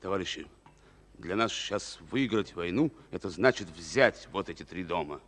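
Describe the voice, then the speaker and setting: An actor playing a resolute, earnest Soviet army captain in a declamatory, emphatic mid-century radio drama style. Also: male voice, low voice, lively intonation, moderate pace. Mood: grave, intense. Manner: declamatory, emphatic, swinging between hushed and ringing.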